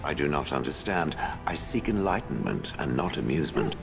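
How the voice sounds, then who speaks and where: An actor playing an ancient stone golem in a video game, sardonic and haughty, with dry, formal phrasing. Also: male voice, very deep voice, big pitch swings, normal speed, gravelly, music in the background, full character voice. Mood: puzzled, haughty. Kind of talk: video game character acting.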